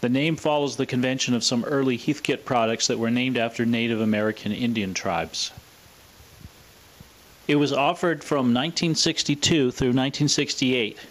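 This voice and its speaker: A man presenting a scripted, explanatory product demonstration in a measured, matter-of-fact way. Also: mid-range voice, poor audio. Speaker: presenter of a vintage radio showcase video.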